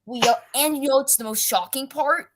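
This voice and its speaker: A woman's voice: high voice, a little fast, noisy background. A woman guest chatting casually on a video call, teasing and quizzing the others.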